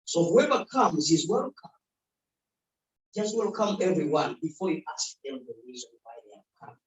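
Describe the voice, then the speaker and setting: A man's voice: on the high side, very animated, moderate pace. A middle-aged Ugandan man, speaking earnestly in short phrases as a community speaker at a public event. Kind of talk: unscripted public talk. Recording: roomy sound picked up from far off.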